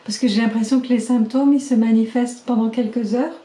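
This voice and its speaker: A middle-aged woman talks into the camera at home, keeping a casual video diary. Her voice is fairly high yet mellow, worn slightly hoarse, and she speaks haltingly, full of pauses and fillers. The echoey room rings around her. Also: fairly even intonation. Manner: chatty and halting, many fillers and restarts.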